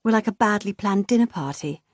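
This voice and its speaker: A woman's voice: mid-range voice, expressive, brisk, dry recording, clean studio sound, voice pushed up, lightly acted. A woman reader voicing an anxious, guarded young woman, in an audiobook. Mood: wry.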